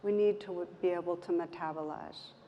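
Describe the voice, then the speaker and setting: A woman with a soft voice speaking gently and reflectively as a meditation teacher, in measured phrases with pauses. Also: fairly low pitch, fairly even intonation. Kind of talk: reflective talk in an on-stage conversation. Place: event stage in a hall. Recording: roomy hall, muffled, background noise.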